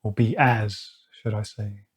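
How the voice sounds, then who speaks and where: A man leading a guided meditation, speaking slowly and softly with long pauses. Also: on the low side, steady tone, husky. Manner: slow, soft-spoken, with long pauses. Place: outdoors or by an open window with birds nearby.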